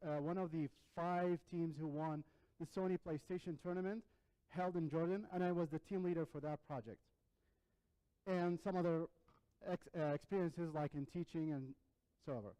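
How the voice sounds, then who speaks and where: A man, presenting his software projects earnestly and restarting words as he goes. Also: on the high side, clear, resonant tone, low-quality recording. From Iraq. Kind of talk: capstone demo presentation.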